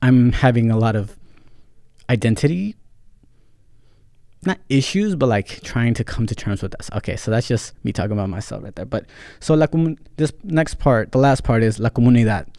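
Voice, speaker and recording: A man's voice: medium pitch, animated, measured pace, vocal fry, mellow, no room sound, studio quality. A young adult man hosting a solo podcast, talking candidly and switching between English and Spanish with a Central American accent, with frequent fillers. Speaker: podcast host. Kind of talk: casual solo podcast monologue.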